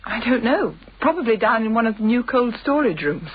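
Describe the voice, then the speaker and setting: An actress playing an affectionate, teasing British wife with a breathy voice in a radio drama. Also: female voice, fairly high pitch, big pitch swings, brisk, slight background noise. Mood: concerned, mild. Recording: dull, muffled radio drama recording.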